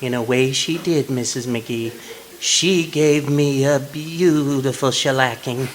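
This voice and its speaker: An actor playing a meek, cheerful henpecked husband in a polite, prim manner, in old-time radio comedy style. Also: male voice, medium pitch, slow, natural voice. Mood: innocently cheerful. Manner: polite, mild, prim delivery. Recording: live stage recording with background noise.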